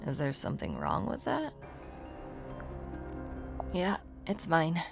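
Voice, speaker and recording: A woman playing a guarded, blunt young pianist in a video game, deadpan and matter-of-fact. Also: low-pitched, slow, creaky, heavily acted. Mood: dry. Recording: music behind the voice.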